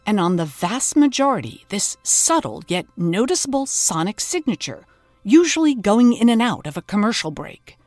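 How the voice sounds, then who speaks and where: A woman's voice: medium pitch, sing-song, moderate pace, a little mellow, no echo, faint music behind, close to an everyday voice. A woman playing an earnest, dryly inquisitive podcast host in a scripted mock documentary. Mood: investigative.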